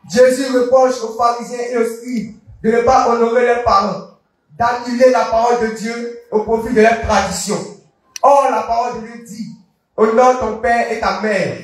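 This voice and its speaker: High-voiced preacher in an echoing hall. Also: male voice. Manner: slow, declaiming, repeating the reading line by line. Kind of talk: sermon. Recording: echoey hall, voice from far off.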